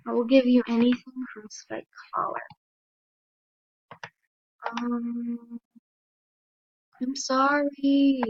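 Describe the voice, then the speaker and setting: A tired woman with a dry throat, hosting a casual gaming video in a soft, hesitant, apologetic way with many pauses and ums. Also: a little high, no echo. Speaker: gaming channel host. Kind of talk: casual game commentary. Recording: dull lo-fi mic.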